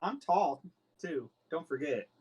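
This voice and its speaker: A man playing a tabletop role-playing game, bantering casually in a halting, jokey way. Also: fairly high pitch, lively intonation, a little mellow.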